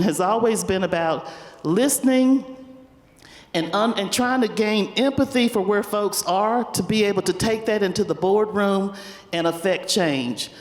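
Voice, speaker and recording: A woman's voice: mid-range voice, darkish tone. A woman with a South Carolina accent, speaking as a panelist on stage and telling folksy, humorous stories about her community work. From Spartanburg, South Carolina. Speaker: panelist, community foundation leader. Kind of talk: panel talk with personal storytelling. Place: large event hall on stage. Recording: echoey hall with long reverb.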